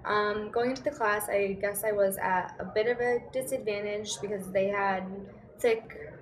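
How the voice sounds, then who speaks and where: A woman in her twenties from Connecticut, relaxed and amused, answering interview questions with ums. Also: medium pitch, normal speed, a little hiss or hum. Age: 20s. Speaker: student interviewee.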